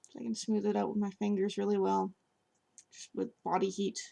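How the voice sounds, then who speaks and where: Gravelly, hoarse woman demonstrating clay. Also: medium pitch, flat delivery, fairly quick, mellow. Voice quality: gravelly, scratchy. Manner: chatty, with fillers while working with her hands. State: hoarse, voice partly lost. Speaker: sculptor reviewing clay. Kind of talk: casual demonstration. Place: home, at a table by a sofa.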